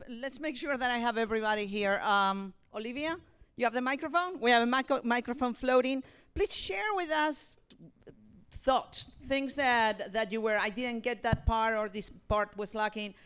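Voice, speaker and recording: A woman leading an informal feedback discussion, encouraging and jokey, speaking in a halting way. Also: a little high, a bit bright, smooth. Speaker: moderator of audience feedback session. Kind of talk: informal post-show discussion.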